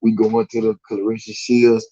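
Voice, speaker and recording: A man's voice: lowish voice, monotone, moderate pace, warm tone, dull audio. A man with a Southern US accent hosting a live stream, chatting casually in a laid-back, slangy, rambling way with lots of fillers. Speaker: podcast host.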